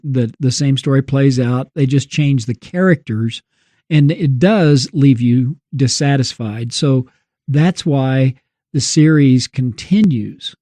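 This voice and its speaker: A man's voice: medium pitch, dark tone, no room sound. A man co-hosting a talk, explaining plainly in a conversational way with little check-in questions. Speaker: co-host. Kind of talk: conversational commentary.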